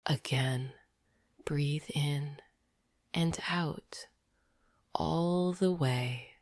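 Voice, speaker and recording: Very slow, low-pitched woman, hushed. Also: no echo, studio quality. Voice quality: soft, breathy, often near a whisper. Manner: gentle, soothing, deliberate. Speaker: guided meditation narrator. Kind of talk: slow scripted sleep meditation.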